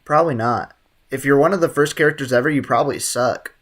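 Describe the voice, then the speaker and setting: A man's voice: medium pitch, fast, a bit bright, slight background noise. A man streaming a video game, casually rambling, joking and chatting with viewers. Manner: rambling, joking, talks to chat. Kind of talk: casual gameplay commentary.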